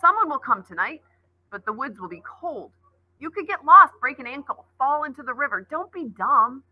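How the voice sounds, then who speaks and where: A woman's voice: high voice, big pitch swings, moderate pace, dry recording, lo-fi sound, voice pushed up. A woman voicing a bossy know-it-all schoolboy who scolds the girls. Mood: scolding. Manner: scolding, pushy.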